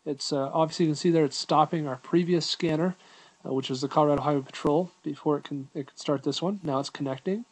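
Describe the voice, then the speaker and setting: Man with a higher-pitched voice, casual tutorial. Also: fast. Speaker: app reviewer giving a tutorial. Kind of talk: casual unscripted screen walkthrough.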